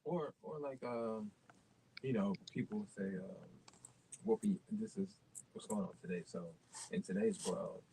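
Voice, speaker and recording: Guest: lowish gravelly male voice, hesitant, faint noise behind. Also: sing-song, unhurried, warm tone, rough recording. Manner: hesitant, many fillers. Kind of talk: casual conversation.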